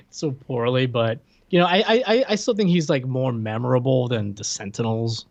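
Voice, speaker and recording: A man's voice: mid-range voice, moderate pace, no room sound, dull audio. A man co-hosting a podcast in casual chat, often stammering and restarting his sentences. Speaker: podcast co-host.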